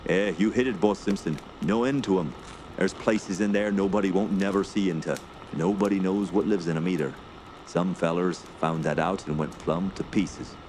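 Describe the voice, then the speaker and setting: Low male voice acted, backwoods guide, superstitious, hesitant muttering, radio drama, noisy outdoor ambience. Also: steady tone, moderate pace, darkish tone, lightly acted. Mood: gruff, folksy. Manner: rustic dialect, hesitant muttering. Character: uneasy backwoods Canadian guide, superstitious, evasive.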